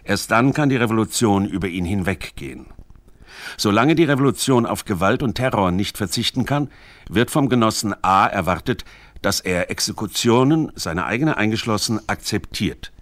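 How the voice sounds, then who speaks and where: A man reading scripted radio feature narration in a measured, precise and formal manner. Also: on the low side, animated, a bit bright, no echo. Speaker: documentary narrator. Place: dry studio.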